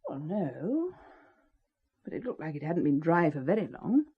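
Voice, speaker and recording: An actress playing a sharp-witted, anxious but plucky young wife in a spy thriller audio drama, articulate and gently scolding. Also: female voice, sits low, very animated, normal speed, breathy edge, phone-quality sound, heavily acted. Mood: wry.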